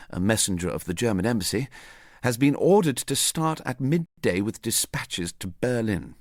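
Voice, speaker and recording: A man playing a tall, weary under-secretary in a radio reading, formal, discreet and measured in speech. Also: fairly low pitch, no room sound, clean studio sound.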